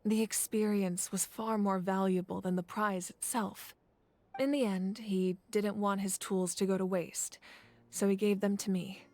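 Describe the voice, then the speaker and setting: A woman's voice: mid-range voice, fairly even intonation, darkish tone, lightly acted. Composed warrior woman telling tales played by an actress. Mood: reflective. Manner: measured, thoughtful storytelling. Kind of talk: video game story dialogue.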